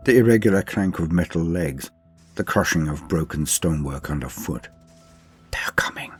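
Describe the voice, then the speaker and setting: Very deep-voiced man, grave dramatic narrator. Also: animated, soft background music. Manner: grave, theatrical, deliberate phrasing. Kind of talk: dramatic audiobook-style story reading.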